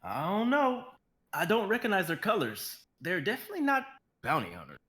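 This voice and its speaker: Woman doing a sulky bounty hunter, pitch jumps all over. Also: sing-song, brisk, fairly bright tone. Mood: sullen. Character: gruff bounty hunter partner, dry and sullen.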